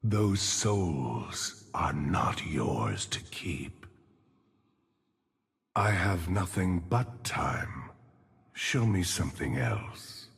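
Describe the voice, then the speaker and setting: A grim, world-weary old necromancer from a video game, drenched in long reverb. He speaks extremely slowly in a gravelly rasp, low and solemn, brooding over the dead. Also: very animated, heavily acted. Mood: grim, menacing. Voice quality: gravelly, husky, breathy. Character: weary, grim old male necromancer shepherd of lost souls. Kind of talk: video game character voice lines.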